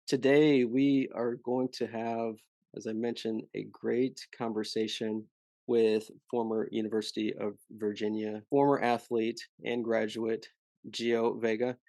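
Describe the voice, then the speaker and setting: A young man hosting a podcast interview, talking in a relaxed, friendly way with a few fillers. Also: on the low side, dry recording. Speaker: podcast host. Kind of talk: casual interview.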